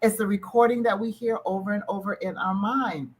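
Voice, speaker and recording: A woman's voice: mid-range voice, fairly even intonation, a little fast, breathy edge. A woman hosting an interview, affirming her guest and speaking with empathy and emphasis. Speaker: show host. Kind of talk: interview conversation.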